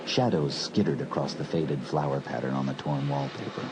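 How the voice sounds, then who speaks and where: A man narrating a horror audiobook in a measured, brooding storyteller's manner. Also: a bass voice, animated. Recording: hissy old cassette recording.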